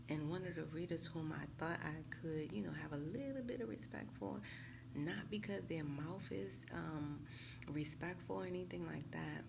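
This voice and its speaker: Woman, American accent, deep register, vocal fry, slangy casual storytelling, emphatic delivery, clearly noisy recording. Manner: animated, emphatic, slangy, often checking with "right". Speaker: YouTube tarot reader. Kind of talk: casual storytime talk to viewers.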